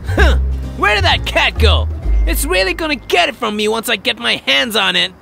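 A woman voicing a mean, menacing cartoon bully boy with a gravelly voice. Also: fairly high pitch, lively intonation, normal speed, sharp tone, exaggerated voice. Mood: threatening. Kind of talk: English-dubbed cartoon villain acting. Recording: music behind the voice.

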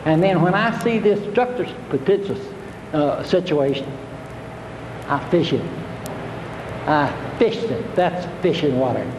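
A man's voice: on the high side, lively intonation, a little slow, long pauses, roomy sound, in a large hall, background noise. An older man, giving a plainspoken, homespun fishing talk to an audience, repeating his points. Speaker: fishing instructor. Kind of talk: folksy seminar talk.

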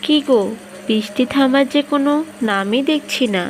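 A woman's voice: sits high, normal speed, a little hiss or hum, close to an everyday voice. A woman playing a resigned, practical village wife and mother in a fairy-tale cartoon, homely and motherly in manner.

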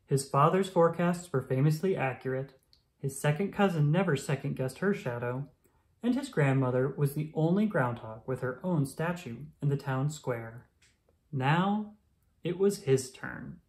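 Expressive storybook reader, medium-pitched voice. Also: unhurried. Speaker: picture book reader. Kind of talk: children's story read-aloud.

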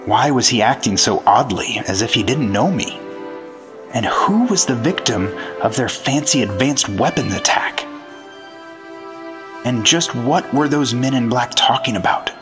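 Guy playing a serious 1950s fbi agent telling his case, old radio detective show, dramatic, loud music behind. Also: mid-range voice, expressive, measured pace, natural voice. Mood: brooding, suspenseful. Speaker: actor playing the lead and narrator.

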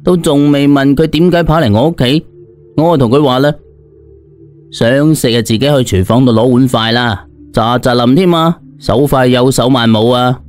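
A man telling a dramatised story in the first person, in a conversational, expressive way. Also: mid-range voice, normal speed, faint music behind. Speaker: audiobook narrator.

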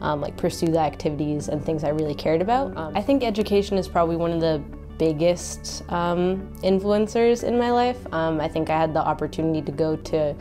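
Young woman from maine, low mellow voice, lots of um and like, soft music. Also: fairly quick. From Maine, USA. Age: young adult. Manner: thoughtful, frequent ums and likes. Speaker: interviewee, college student. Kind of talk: personal testimony to camera. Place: indoor studio against a light wall. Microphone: lapel mic.

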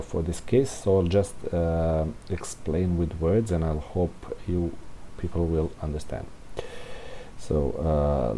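Low, mellow, slow-talking man thinking aloud. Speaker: presenter of a programming tutorial. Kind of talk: informal unscripted screencast walkthrough of code. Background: room heater hiss.

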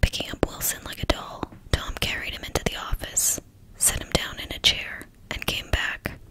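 A woman reading a novel aloud in a steady, hushed whisper. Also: normal speed, low-quality recording. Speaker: narrator.

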